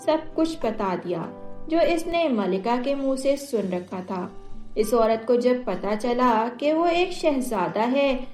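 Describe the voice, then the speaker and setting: Female storyteller for scripted moral tales. Slow pace, fairly high voice, roomy echo over light music. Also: animated. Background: soft music.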